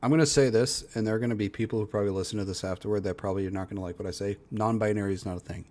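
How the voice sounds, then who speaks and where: A man's voice: lowish voice, steady tone, rapid-fire, darkish tone. Blunt, cursing male reaction streamer. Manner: blunt, profane ranting with frequent swearing. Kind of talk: casual reaction commentary.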